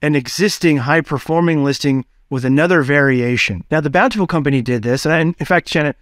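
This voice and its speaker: Upbeat American-style podcast host, dry sound. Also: male voice, on the high side, dry recording. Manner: energetic, quick-shifting, chatty with fillers. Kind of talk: casual business podcast chat.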